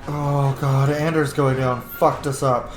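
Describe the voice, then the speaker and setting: A man plays a video game and talks through it casually, cursing as he reacts. His voice is mid-pitched with a noticeable room echo, and game music plays behind him. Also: flat delivery, takes it slowly. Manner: sweary, reacting aloud to the game. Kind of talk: casual let's-play commentary.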